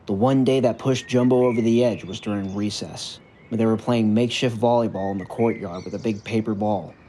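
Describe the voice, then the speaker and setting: A man reading a scripted story narration in a measured, earnest way. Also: on the low side, steady tone, warm tone, slight background noise. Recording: music bed under the narration. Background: background music.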